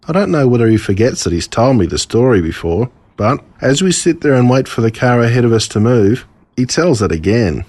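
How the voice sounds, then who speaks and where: A man with an Australian accent reading a scripted storybook in an expressive storytelling manner. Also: medium pitch, fairly quick, no room sound. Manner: expressive, storytelling in a child narrator's words. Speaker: author reading his own story.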